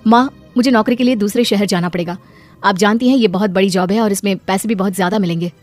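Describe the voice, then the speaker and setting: A woman's voice: mid-range voice, fast, darkish tone, no echo, faint music behind, natural voice. A woman voicing a defiant but polite unmarried schoolteacher daughter of nearly thirty in a moral-story cartoon. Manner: indignant, protesting.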